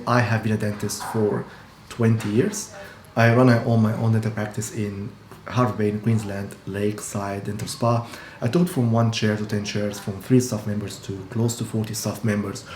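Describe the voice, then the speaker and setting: A man's voice: on the low side, darkish tone. A man, talking casually to camera as a dentist, earnest and explanatory with frequent restarts. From Egypt. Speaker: dentist and practice owner. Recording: echoey room with faint hum.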